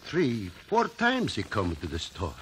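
Immigrant shopkeeper on old radio, foreign accent, swingy pitch, bit of noise. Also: male voice, huge pitch swings, a little slow, fairly bright tone, exaggerated voice. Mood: sincere, reluctant. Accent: foreign, broken English. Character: earnest immigrant shopkeeper, kindly but quick to indignation. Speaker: courtroom witness. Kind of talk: 1950s radio drama acting. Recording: noisy 1950 radio broadcast recording.

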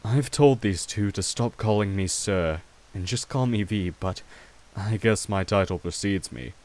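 A man voicing a courtly, protective vampire king in an ASMR roleplay, gentle and wry, speaking formally and reassuringly to the listener without hurry. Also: fairly low pitch, unhurried, close to an everyday voice. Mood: courteous, welcoming.

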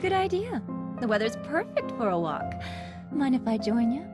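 Flirty game cartoon cat: high, hugely swooping voice, slow coaxing delivery, sly sweetness, loud music behind. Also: female voice, big pitch swings. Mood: sweet, breezy. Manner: coy, coaxing. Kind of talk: cartoon video game cutscene acting.

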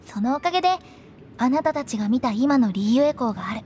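A woman's voice: high-pitched, animated, fast, dark tone, slight background noise. A woman voicing a playful, cryptic young female funeral director in a Japanese anime game, with a teasing, theatrical delivery. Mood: solemn, mysterious. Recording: studio voice over background music.

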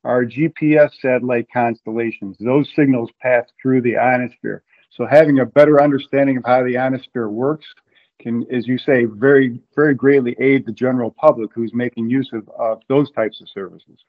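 Casting: middle-aged male interview guest, mid-pitched, informal and halting, thin phone-like call audio. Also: steady tone. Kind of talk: informal explanation. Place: room at home. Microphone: video call, earbuds. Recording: narrow, phone-like video call sound.

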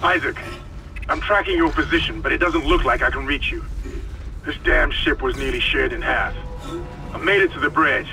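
A man playing a battered, gruff, resolute spaceship commander in a video game, talking in an urgent, clipped way. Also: on the high side, normal speed, bright, forceful tone, faint music behind, poor audio. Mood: grim, urgent.

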